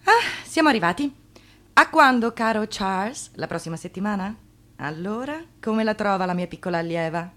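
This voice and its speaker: A woman's voice: mid-range voice, lively intonation, moderate pace, fairly bright tone, lightly acted. An actress playing an effusive, gossipy landlady and piano teacher who plays matchmaker in an Italian radio drama. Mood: gossipy, knowing.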